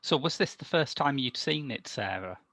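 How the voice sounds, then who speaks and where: A man's voice: medium pitch, expressive, moderate pace, pushed and bright, dry recording. A man giving casual, thoughtful and wry film analysis on a video call. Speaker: podcast film reviewer.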